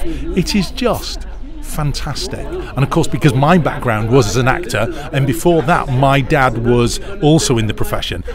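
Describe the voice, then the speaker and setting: A middle-aged British man giving interview answers in a chatty, enthusiastic storytelling way. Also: medium pitch. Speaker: pantomime producer, interviewee. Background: music clearly audible.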